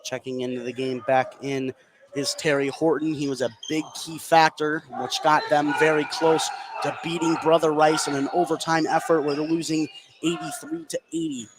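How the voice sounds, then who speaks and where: A man with a high-pitched voice calls a basketball game live as a radio play-by-play announcer. His commentary comes over clear background noise from the gym where the game is played. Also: level tone, normal speed. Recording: noisy live gym broadcast.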